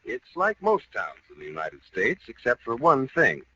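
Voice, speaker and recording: A man's voice: mid-range voice, huge pitch swings, normal speed, bright, forceful tone. A man announcing a scripted radio show in a polished 1940s style. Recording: noisy, muffled old radio broadcast.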